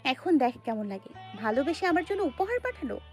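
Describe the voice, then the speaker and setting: A woman's voice: high-pitched, very animated, fairly quick, a little mellow. A woman playing a hurt, defiant young woman in love in an audio story. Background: background music.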